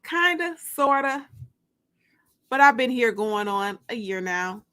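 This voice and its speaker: A woman's voice: on the high side, lively intonation, slow pace, pushed and bright, clean tone, heavily acted. A woman voicing a playful, coy, flirtatious female character in an audiobook story, teasing as she talks. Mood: bright, coy. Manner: coy, teasing, plays dumb.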